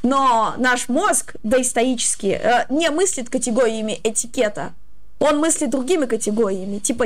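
A young woman in her twenties talks with a high voice. She explains her advice casually, with a hesitant, halting delivery. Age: 20s. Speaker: streamer giving advice.

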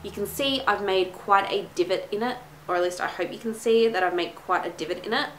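A woman's voice: medium pitch, fairly quick, fairly bright tone. A young woman in her twenties, congested from a cold, chatting and gushing through a casual beauty product review in a small room at home. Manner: gushing, chatty, lots of "like" and "my goodness". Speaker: beauty vlogger. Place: small room at home, plain wall behind. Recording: echoey room with faint hiss.

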